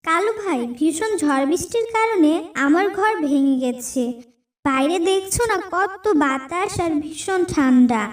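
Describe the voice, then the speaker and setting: A woman's voice: very high voice, animated, measured pace. A woman voicing a kind mother tailorbird in distress, pleading plaintively in a children's cartoon. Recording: roomy, distant sound under music.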